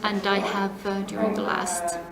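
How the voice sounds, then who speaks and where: A middle-aged woman from Finland answering interview questions, speaking in a measured way with many pauses and phrase ends that fade to a near whisper. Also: mid-range voice, level tone, over a noisy crowd. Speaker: interviewee, compliance advisor. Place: seminar venue. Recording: echoey room, faint noise.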